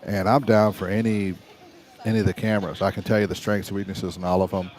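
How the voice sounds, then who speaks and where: A middle-aged man with a raspy voice, hosting a casual livestream about cameras in a loose, stop-start way. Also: deep voice, little pitch movement, fast, darkish tone. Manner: conversational, stop-start, salesy about gear. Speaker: livestream host and videographer.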